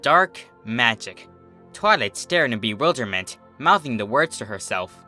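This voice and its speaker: Bright, lively male storybook narrator. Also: mid-range voice, measured pace, faint music behind. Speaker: fan fiction narrator. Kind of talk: expressive scripted storybook narration.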